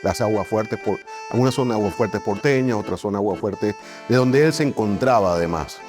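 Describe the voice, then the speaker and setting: A man's voice: on the low side, moderate pace, music in the background. A man hosting a conversational interview, putting his points in a tentative, meandering way. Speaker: podcast interviewer.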